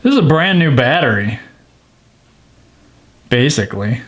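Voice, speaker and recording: A man's voice: medium pitch, sing-song, measured pace, a bit bright. A man giving casual, informal hands-on commentary, thinking aloud as he works. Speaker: watch restoration video host.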